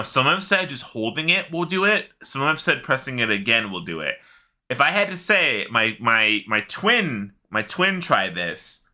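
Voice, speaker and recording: A man co-hosting a casual gaming chat, animated and slangy, swearing casually as he talks. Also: medium pitch. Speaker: podcast co-host.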